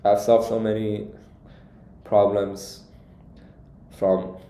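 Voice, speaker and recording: Young man, Iranian accent, soft dark tone, halting casual live-stream coach, roomy echo. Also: on the low side, monotone. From Iran. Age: young adult. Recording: echoey, roomy sound.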